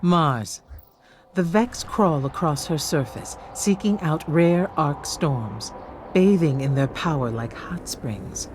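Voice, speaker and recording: An actress playing a wise, commanding warlock mentor in a video game, solemn, measured and authoritative. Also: female voice, low-pitched, animated, a little mellow, a little hiss or hum. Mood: solemn, intense. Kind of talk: cinematic game voice acting. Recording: voice over cinematic music.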